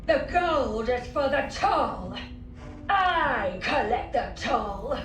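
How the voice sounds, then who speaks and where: Greedy monster toll keeper, high voice, super slow chanty broken talk, echoey game sound. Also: female voice, animated, fairly bright tone, full character voice. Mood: menacing greed. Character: grotesque, greedy monstrous toll collector demanding gold. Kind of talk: video game character acting. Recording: distant, roomy echo, faint music.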